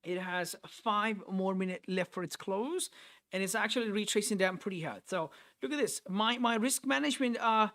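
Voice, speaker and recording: Man, higher voice, thinks aloud, repeats himself, live stream host. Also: normal speed, a bit bright. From Nepal. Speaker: trader hosting a live stream. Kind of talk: live trading commentary, reading chat.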